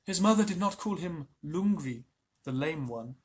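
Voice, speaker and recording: A reader voicing a wise mother wolf from a storybook, quiet and measured. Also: mid-range voice, expressive, husky. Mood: calm. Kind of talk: storybook reading.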